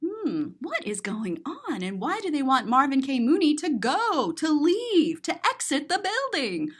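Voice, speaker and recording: A woman reading a picture book aloud to children in an expressive, sing-song way, drawing out words and describing the pictures. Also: high-pitched, a little slow. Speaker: children's story reader and teacher.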